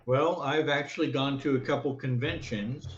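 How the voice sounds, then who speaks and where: A man joining a game league show as a team's player, answering in short, relaxed remarks over a voice call. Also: medium pitch, moderate pace, slight background noise. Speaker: team player guest. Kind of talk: casual chat. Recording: echoey, dull voice-call audio.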